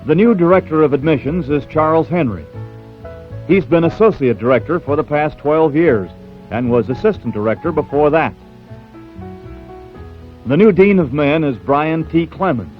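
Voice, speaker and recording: A man's voice: mid-range voice, narrow, tinny audio. A man with an American accent reading scripted narration as a newsreel narrator, on a 1970s soundtrack.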